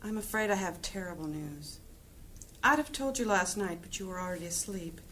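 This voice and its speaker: A woman's voice: on the low side, normal speed, fairly bright tone, slight background noise, natural voice. A woman playing a kindly, plain-spoken villager in a fantasy audio drama, motherly and homely in her phrasing. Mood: sorrowful, gentle.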